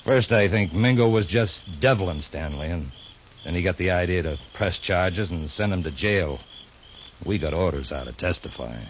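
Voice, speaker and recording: An American actor playing a surly cowhand henchman in a 1950s radio western, talking in a gruff, grudging, folksy way. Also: sits low, moderate pace, lightly acted. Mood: grudging, confessing. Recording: dull, noisy old radio recording.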